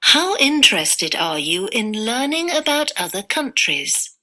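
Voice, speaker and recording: A woman interviewer slowly reading set questions and repeating each one clearly. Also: on the high side, sing-song, sharp tone.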